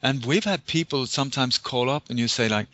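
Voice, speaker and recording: A man from Sweden, a guest on a call-in style interview, telling stories in an animated way. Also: fairly quick, no room sound. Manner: animated storyteller, acts out phone calls in high voices. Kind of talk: casual interview talk. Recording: dull lo-fi audio.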